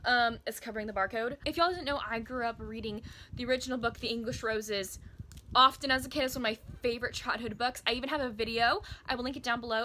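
Bright, high-voiced young American woman. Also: slight background noise. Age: 20s. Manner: gushing, emphatic, expressive. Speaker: book video blogger. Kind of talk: casual chat to camera. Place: small indoor room by bookshelves.

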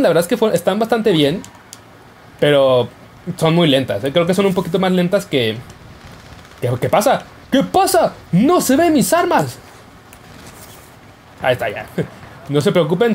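A man's voice: high-pitched, huge pitch swings, moderate pace, pauses often, slight background noise. A young man giving casual, joking commentary over his gameplay. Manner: playful, jokey, yelps and laughs while playing. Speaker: gaming YouTuber.

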